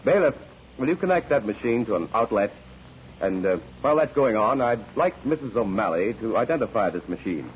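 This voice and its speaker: A commanding examiner questions witnesses courteously and deliberately. His low voice moves with lively intonation in old-time radio style, on a slightly noisy recording. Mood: probing, controlled. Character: probing, commanding male courtroom examiner hero.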